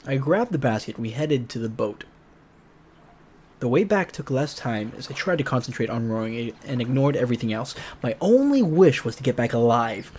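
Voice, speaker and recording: A man's voice: medium pitch, darkish tone, a little hiss or hum. A man narrating a visual novel aloud as a let's player, casual and slangy, with joking asides. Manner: jokey, slangy. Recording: music behind the voice.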